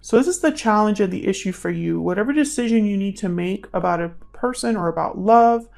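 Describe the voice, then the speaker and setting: A woman's voice: mid-range voice, a little fast, gravelly, darkish tone. A woman giving a casual, intuitive tarot reading as a channel host, musing aloud and sometimes trailing into murmured questions.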